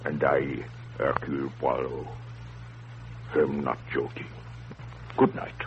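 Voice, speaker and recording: An actor playing a courteous, commanding, self-important detective in 1930s radio drama, with pompous, formal, deliberate delivery. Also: male voice, extremely low pitch, level tone, heavily acted. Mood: grave warning. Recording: tinny, noisy, humming 1930s radio broadcast.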